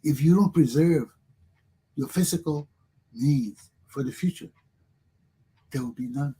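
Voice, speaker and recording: A middle-aged man with a Liberian accent delivers a motivational monologue on his own talk show. His voice sits a little high for a man and sounds warm and husky. He preaches with emphasis and circles back to repeat his points. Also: a little slow, low-quality recording.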